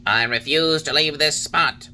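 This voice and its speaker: A man voicing a wise old male talking cricket who lectures in solemn, drawn-out warnings. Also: on the high side, sharp tone, slight background noise, voice pushed up. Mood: lecturing.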